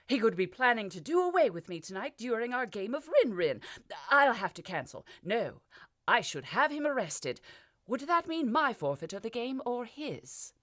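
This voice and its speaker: A woman voicing a petulant, childish young king who breaks into shrill, panicky outbursts. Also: big pitch swings, moderate pace, fairly bright tone. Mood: hysterical. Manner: shrill, whiny, hysterical outbursts.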